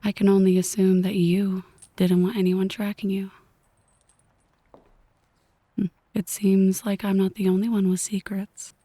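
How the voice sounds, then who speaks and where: A woman voicing a possessive yandere mafia boss in an ASMR roleplay, slow and half-whispered, mock-affectionate and coolly menacing. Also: medium pitch, little pitch movement, dark tone, no room sound, close to an everyday voice. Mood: teasing, menacing.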